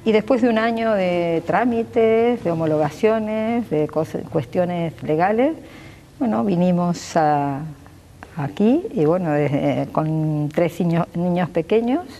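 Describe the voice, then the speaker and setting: A woman's voice: lowish voice, animated, slow, warm tone, in a large hall. A woman in her sixties with an Argentine accent, answering interview questions as a doctor and local politician, earnest and fluent. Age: 60s. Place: glass-walled pavilion with garden behind.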